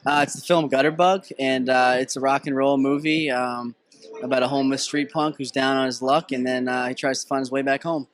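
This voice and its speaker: A man's voice: mid-range voice, steady tone, a little fast, dry recording. A young man from Boston, a writer-director, talking about his film with casual enthusiasm. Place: film festival red carpet, indoors. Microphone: handheld interview mic.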